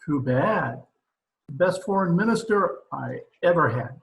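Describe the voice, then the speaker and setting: An island dictator and admiral in a radio play, acted by a man. His voice is fairly high for a man and slow, with a strong room echo around it. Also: lively intonation, vocal fry. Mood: unhurried. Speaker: radio drama actor. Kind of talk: old-time radio drama acting. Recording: echoey room, remote call recording.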